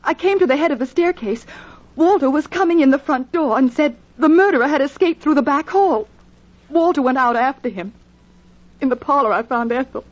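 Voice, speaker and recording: An actress as a distraught woman in an old radio mystery. Her voice is high and anxious, with dramatic delivery. The sound is dull and muffled, like an aged broadcast. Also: brisk, lightly acted. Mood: anxious, grieving.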